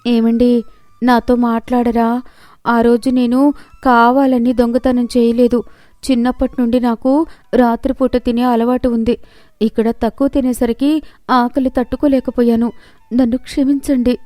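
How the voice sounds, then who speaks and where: A woman's voice: fairly high pitch, little pitch movement, a little slow, warm tone, no echo, natural voice. A woman voicing a meek young daughter-in-law in a moral story, pleading and hesitant.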